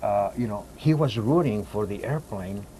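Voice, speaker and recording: A man talks casually as an interview guest, haltingly, restarting phrases as he searches for words. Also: on the low side, animated, mellow, low-quality recording. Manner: halting, searching for words with repeated false starts. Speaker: guest, poetry reader. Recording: clear background noise and ambience.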